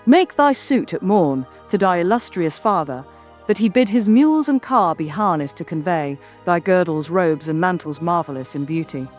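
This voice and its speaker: A woman playing a goddess disguised as a young maiden, gently chiding in formal, archaic verse over music. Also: on the low side, lively intonation, normal speed, lightly acted. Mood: gently urging. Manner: stately, archaic diction. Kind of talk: formal verse audio drama.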